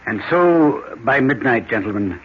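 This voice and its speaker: An actor playing a formal official who recounts a crime as the narrator of a 1940s radio drama. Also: male voice, mid-range voice, expressive, a little slow, slightly rough. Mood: suspenseful, measured. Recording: muffled, noisy old radio recording.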